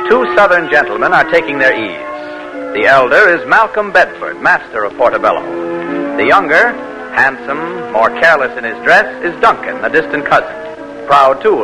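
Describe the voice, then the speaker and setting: A man's voice: mid-range voice, poor audio. Stately man announcing over music. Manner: formal, stately delivery. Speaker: radio announcer. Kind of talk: 1940s radio announcing and narration. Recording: old radio broadcast with music.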